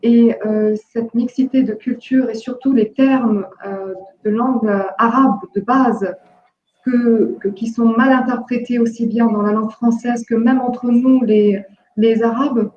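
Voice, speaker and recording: A woman's voice: fairly high pitch, steady tone, a little slow, mellow, slight background noise. Hesitant woman on an echoey call. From Jordan. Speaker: workshop participant, entrepreneur. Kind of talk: hesitant online discussion. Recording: echoey, dull lo-fi call audio.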